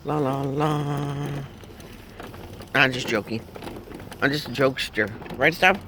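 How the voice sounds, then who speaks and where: Jokey bright-voiced man in his fifties. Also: medium pitch, steady tone, slow, slight background noise. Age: 50s. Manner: joking, chuckling, humming silly tunes. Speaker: vlogger. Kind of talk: rambling casual chat. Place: in a car.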